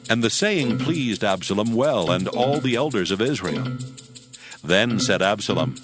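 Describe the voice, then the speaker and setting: A man's voice: fairly low pitch, animated, unhurried. A man narrating scripture from a script as a Bible narrator, with music behind him. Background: prominent background music.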